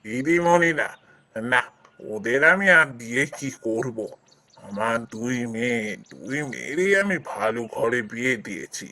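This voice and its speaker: A man playing a kind, generous old village landlord in a cartoon fairy tale, explaining things in a measured way. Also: medium pitch, very animated, pushed and bright, full character voice. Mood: benevolent, earnest.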